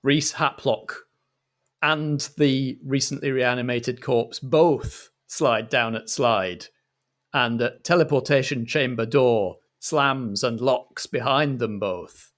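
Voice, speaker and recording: A man's voice: medium pitch, slow pace. A man narrating a roleplay story dramatically as the game master.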